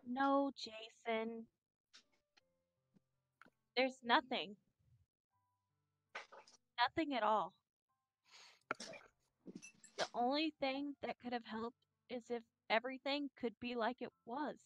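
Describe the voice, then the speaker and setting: A woman's voice: fairly high pitch, slow pace, pauses often, dry recording. A woman voicing a despairing, self-blaming anime schoolgirl, tearful and tender, in a visual novel reading. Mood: guilty, sad.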